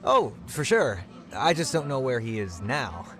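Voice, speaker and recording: A man's voice: mid-range voice, big pitch swings, a little slow, a bit bright. A young man with an American accent playing a clueless, laid-back employee in a dubbed video game, slangy, hesitant and vague. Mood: vague, unbothered. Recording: faint music behind the voice.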